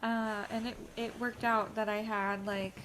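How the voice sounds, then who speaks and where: Slow, hesitant woman, stuffy. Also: fairly high pitch, level tone, heavy background noise. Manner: hesitant, many ums and likes. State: stuffy from allergies. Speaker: vlogcast co-host. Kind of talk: rambling casual chat.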